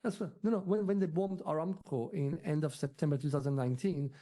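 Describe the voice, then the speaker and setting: Man, Iranian accent, very dark soft-edged timbre, halting unscripted political discussion, repeated words. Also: a little high, steady tone. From Iran. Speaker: interview guest, political commentator.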